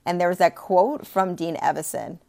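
A woman co-hosting a sports podcast, chatty and expressive, with playful asides. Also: fairly low pitch, moderate pace, vocal fry. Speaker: podcast co-host. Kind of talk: casual sports talk.

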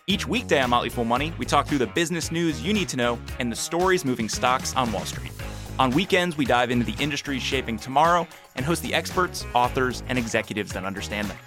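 Fast-talking male podcast host over music. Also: medium pitch, lively intonation. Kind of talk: scripted promo read.

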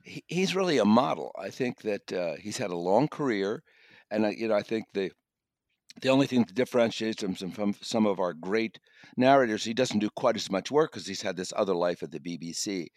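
A man's voice: lowish voice, expressive, fairly quick, no echo, clean studio sound. A man giving a conversational review as a guest critic on a podcast.

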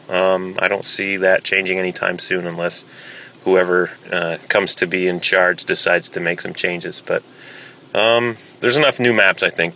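A man's voice: deep voice, gravelly, sharp tone, poor audio. A man giving an informal spoken update as a game server admin on a podcast.

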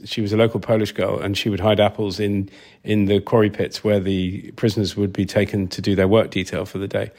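A man being interviewed as a film sound designer, explaining things conversationally in a thoughtful, halting way with repeated words. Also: lowish voice.

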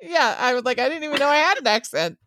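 A woman's voice: high voice, lively intonation, fast, fairly bright tone, no room sound, clean studio sound. A woman co-hosting a casual TV recap chat, with a mixed American accent and a chatty manner, laughing often and trailing off mid-thought. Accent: mixed American, hints of East Coast and Utah. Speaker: podcast co-host.

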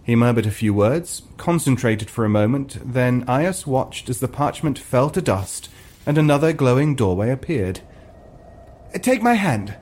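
A man's voice: mid-range voice, expressive, moderate pace, darkish tone. A man reading scripted fiction as narrator, in a dramatic comic storytelling style. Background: ambient sound effects.

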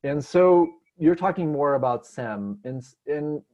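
A man from Detroit, a meditation teacher, answering a question in a relaxed, gentle way with frequent restarts, over a video call. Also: a little high, unhurried, dull audio. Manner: gentle, searching, many restarts. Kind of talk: relaxed spoken teaching, unscripted.

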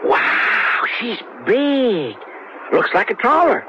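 An actor playing a nervous, eager helper to the sheriff, stammering and unsure of himself. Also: male voice, very high voice, sing-song, slow pace, exaggerated voice. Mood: anxious. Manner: stammering, hesitant. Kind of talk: 1970s radio drama acting. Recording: noisy lo-fi old radio broadcast.

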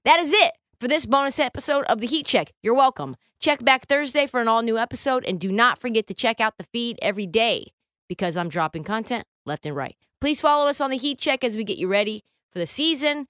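Animated woman hosting a sports podcast. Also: a little high, brisk, no echo, clean studio sound. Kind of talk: casual sports talk show.

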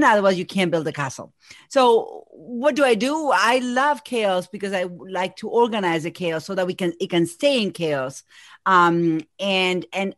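A woman in her forties from Argentina, a native Spanish speaker with an Argentine Spanish accent, talking as a podcast guest and executive coach in an energetic, think-aloud way. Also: medium pitch, dry recording, studio quality. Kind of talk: casual podcast conversation.

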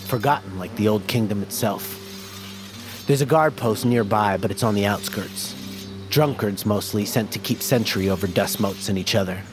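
An actor playing a grave guide and rebel leader in a fantasy audio drama, speaking in measured, archaic phrases. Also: male voice, fairly low pitch, music in the background, natural voice.

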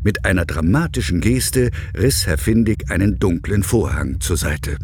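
A man's voice: low-pitched, expressive, moderate pace, darkish tone, slightly rough. A man narrating a scripted children's story as a dramatic storyteller.

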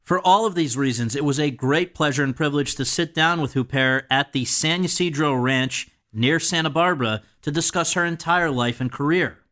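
A man's voice: on the high side, normal speed, few pauses, fairly bright tone. A man hosting an interview podcast, speaking in prepared introductions and questions.

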